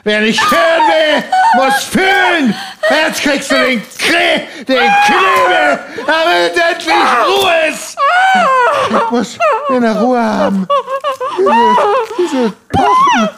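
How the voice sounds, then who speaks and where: A man playing a deranged, violent husband who rants and shouts, then pleads in a broken voice. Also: very high-pitched, wildly swooping pitch, slow, gravelly, heavy background noise, full character voice. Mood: raging. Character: deranged, violent middle-aged husband hearing knocking.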